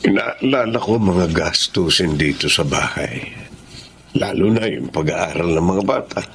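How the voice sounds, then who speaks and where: A man's voice: low voice, expressive, measured pace, vocal fry, a bit bright, a little hiss or hum, full character voice. A man playing a middle-aged husband and father in a radio drama, plain-spoken and subdued. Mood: downcast. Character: low-key middle-aged husband and father, troubled provider. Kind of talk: Tagalog radio drama acting.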